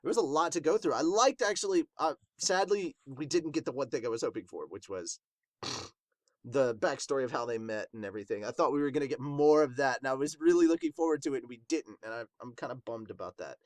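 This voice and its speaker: A man's voice: medium pitch, expressive, brisk, dry recording. A man hosting a podcast, giving casual, rambling, slangy reaction commentary. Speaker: host of a manga review podcast.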